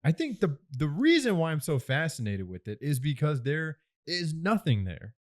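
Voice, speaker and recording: एक आदमी, तीस के दशक में, पॉडकास्ट पर हल्के-फुल्के अंदाज़ में बात करता हुआ, अक्सर हँसता और सोचते-सोचते बोलता हुआ।. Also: male voice, fairly high pitch, moderate pace. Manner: animated, laughs often, thinks aloud. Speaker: podcast co-host. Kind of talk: casual chat.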